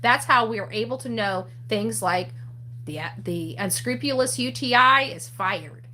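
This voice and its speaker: A woman's voice: on the high side, a little slow, roomy sound, distant mic, slight background noise. A woman hosting an online true-crime channel, rambling casually with many fillers and restarts before an upbeat sign-off. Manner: loud, upbeat sign-off catchphrases. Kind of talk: casual chat, sign-off to viewers.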